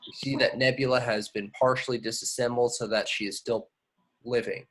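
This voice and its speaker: A man's voice: mid-range voice, fairly even intonation, a little fast, a bit bright, poor audio. Guy narrating action lines from a script, mid-range voice, amateur table read. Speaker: reader of stage directions.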